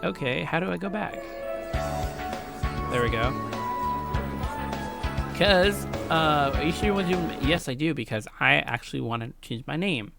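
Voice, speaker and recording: Female game streamer, low voice, very lively intonation, slangy and playful, reading and commenting casually over music. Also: moderate pace, gravelly, pauses often. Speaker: Let's Play streamer. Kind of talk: casual game commentary and reading visual novel text aloud.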